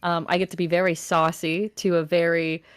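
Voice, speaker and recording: Expressive woman chatting, dry close sound. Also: fairly low pitch, normal speed, clean tone, no echo. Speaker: audio drama voice actor on a panel. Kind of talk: casual chat.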